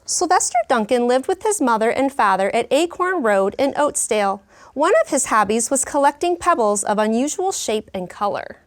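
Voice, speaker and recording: A woman's voice: a little high, big pitch swings, moderate pace. A woman who teaches elementary school, explaining words and lessons in simple, child-friendly terms. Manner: clear, child-friendly explanations with questions to pupils. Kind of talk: reading aloud and teaching young children, explaining her method.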